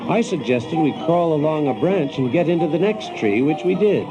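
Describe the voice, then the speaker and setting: A man's voice: on the high side, expressive, normal speed, dull audio, over music, natural voice. An actor playing a cocky, wry American adventurer, telling his story as dramatized first-person narration. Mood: excited, boastful.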